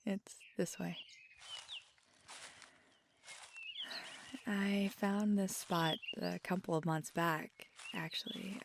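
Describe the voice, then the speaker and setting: Woman doing a feisty loving girlfriend asmr, slow soft whispery talk, teasing, faint outdoor noise behind. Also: lowish voice, gravelly. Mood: tender, grateful. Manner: tender, teasing, lapsing into whispers. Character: feisty affectionate magical girlfriend, young woman, outdoorsy and sweary. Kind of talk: intimate ASMR roleplay, soft and breathy.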